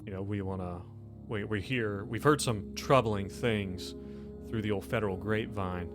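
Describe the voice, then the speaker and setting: A man's voice: fairly low pitch, normal speed, music in the background, lightly acted. A man playing a guarded, officious federal agent in a tabletop game.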